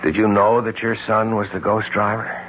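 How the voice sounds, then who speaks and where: An actor playing an earnest amateur sleuth who reasons things out dramatically in a 1970s radio drama. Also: male voice, on the low side, little pitch movement, normal speed, fairly bright tone, close to an everyday voice. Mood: grave. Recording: muffled, noisy old radio recording.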